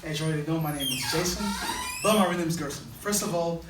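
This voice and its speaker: A man's voice: a little high, fast talker, noisy background. Guy telling his life story to a room, echoey and far from the mic. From Portugal. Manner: plain, earnest storytelling. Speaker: guest speaker. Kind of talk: personal story told to an audience. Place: room with audience.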